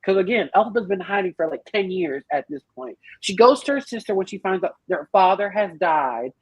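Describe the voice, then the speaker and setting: A woman explaining things emphatically and with salty language in an animated, casual group discussion on a podcast. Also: mid-range voice, brisk, breathy edge, dry recording, muffled recording. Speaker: podcast panellist.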